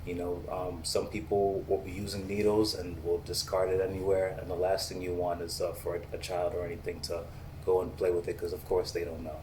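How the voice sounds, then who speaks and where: A man in his thirties from the Boston area, a police officer answering interview questions in a measured, steady way with frequent ums. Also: low-pitched, slight background noise. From United States, Boston area.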